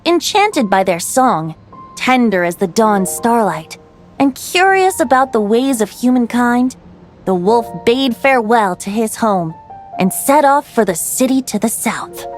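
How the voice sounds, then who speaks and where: A woman voicing a playful young male bard who tells tales in a lilting, lyrical way. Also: on the high side. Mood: storytelling. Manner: lilting, teasing, then lyrical storytelling. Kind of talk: video game voice acting.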